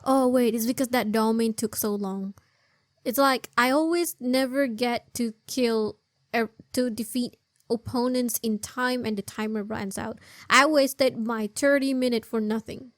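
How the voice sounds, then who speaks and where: A woman's voice: on the high side, steady tone, unhurried, no echo, studio quality. A woman doing casual, chatty gameplay commentary in informal non-native English. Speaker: game streamer. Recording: game music behind the voice. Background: video game music.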